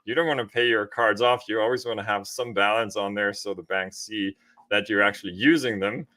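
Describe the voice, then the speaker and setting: Bright-voiced man chatting. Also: lowish voice, fairly even intonation, brisk. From Austria. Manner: informal, often checks with "right?". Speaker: podcast host. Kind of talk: casual conversational explanation.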